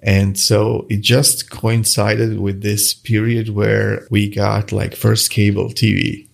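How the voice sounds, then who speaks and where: A man's voice: fairly low pitch, flat delivery, slow, vocal fry. A middle-aged man from Croatia, a native Croatian speaker, answering interview questions in a hesitant, casual way with many restarts. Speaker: guest, watch face designer.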